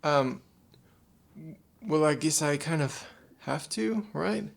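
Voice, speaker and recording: A man's voice: fairly high pitch, expressive, slow, creaky, full character voice. A man playing an anxious, hesitant young adult in an audio play, faltering and unsure. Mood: hesitant, reluctant. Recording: noisy background ambience.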